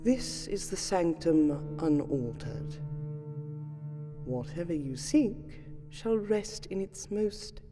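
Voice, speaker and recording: Woman acting an ancient vampire lady, really slow and formal, breathy, soothing, music behind her, fantasy roleplay. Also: on the low side, big pitch swings. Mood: reassuring, formal. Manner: formal, archaic, soothing, wistful. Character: gracious ancient vampire lady, noble keeper of a magical sanctum. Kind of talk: scripted fantasy roleplay audio, addressing the listener.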